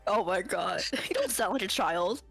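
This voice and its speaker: A woman on a stream call laughs easily and reassures her friends. Her voice sits at an ordinary pitch, with faint music underneath. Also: animated, moderate pace, gravelly, fairly bright tone, slightly rough. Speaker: player on a game prep stream. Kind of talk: casual stream chat.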